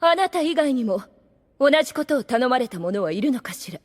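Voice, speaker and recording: A woman's voice: high voice, big pitch swings, brisk, dry recording. A woman playing a haughty, scheming demoness in an anime audio drama, with imperious, refined feminine phrasing. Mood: probing, imperious. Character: haughty, scheming, obsessively devoted demoness overseer.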